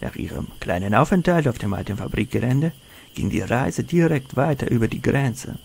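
An actor plays a sinister male criminal in a crime audio drama. His gravelly voice is cold and self-assured, with faint noise and night insects behind it. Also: mid-range voice, lively intonation, moderate pace, darkish tone. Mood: smug, sinister. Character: cold, menacing hired criminal, a smug kidnapper.

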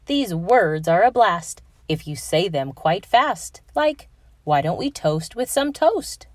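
Female children's read-aloud narrator, unhurried and very animated, careful diction, dry close sound. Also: medium pitch, no room sound.

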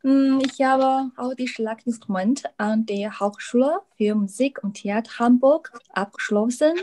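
A woman's voice: fairly high pitch, a little slow, mellow, a little hiss or hum. Chinese-accented woman percussionist on a call. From China. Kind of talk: introducing herself on a video call. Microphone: laptop webcam on video call.

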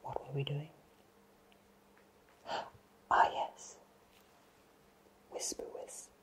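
A man playing a friendly, absent-minded wizard who runs a potion shop, speaking in a soft breathy whisper for an ASMR roleplay, gently and reassuringly. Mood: musing, reassuring. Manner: slow, gentle, hesitant, reassuring.

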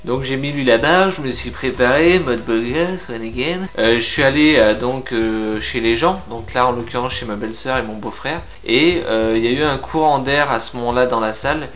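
A young man talks straight to the camera about grooming products, frank and lively, and drops little filler pauses as he goes. His pitch sits in a middle range. Faint music plays under his voice. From France. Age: young adult. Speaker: beard product reviewer. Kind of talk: casual product review to camera. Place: indoor room with shelves of figurines.

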